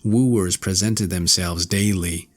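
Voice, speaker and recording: A man reading a classic fairy tale as an audiobook narrator, in a measured storytelling manner. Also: sits low, fairly even intonation.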